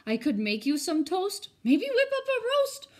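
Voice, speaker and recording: A woman voicing a lonely, excitable female ghost in a children's picture book, with a gushing, dramatic manner. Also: very high-pitched, measured pace, pitched above the performer's own voice, heavily acted. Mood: eager, flustered.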